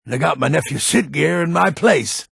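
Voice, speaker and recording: A heavily gravelled, very hoarse-edged old lord from a fantasy game. He is proud and grumpy, blustering in slow, weighty phrases that swing widely in pitch. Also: animated, fairly bright tone, no room sound, full character voice. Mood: bitter, proud. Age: older. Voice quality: gravelly, raspy. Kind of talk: video game character voice acting.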